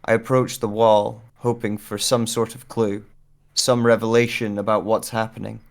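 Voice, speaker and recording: A man playing a wistful amnesiac in an audio drama monologue, speaking hesitantly and deliberately with pauses. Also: on the low side, little pitch movement, creaky. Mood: uneasy wonder. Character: wistful amnesiac man searching a room of photographs.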